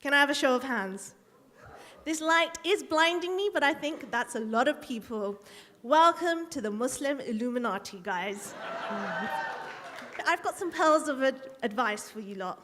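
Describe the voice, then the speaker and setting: Woman, very high voice, joking stage welcome, event host. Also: expressive, measured pace, a bit bright.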